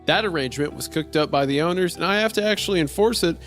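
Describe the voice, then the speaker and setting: A man's voice: fairly high pitch, fast, fairly bright tone. A man narrating a strange first-person horror-comedy story in a wry, conversational way. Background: faint music.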